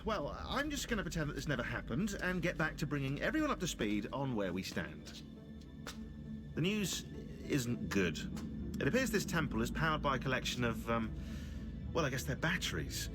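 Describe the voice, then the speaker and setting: An actor playing a fussy, brainy researcher in a video game, wry, wordy and a little snippy. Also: male voice, medium pitch, big pitch swings, moderate pace, music in the background, lightly acted. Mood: wry, explaining. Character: dry, fussy, brainy male tech researcher. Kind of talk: video game cutscene acting.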